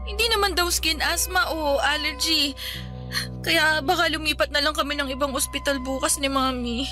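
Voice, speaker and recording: An actress voices a young woman in a radio play whose skin is breaking out in itching sores. She pleads and complains in a very high voice, and music plays underneath. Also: normal speed, natural voice. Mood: troubled. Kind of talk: Tagalog radio drama acting.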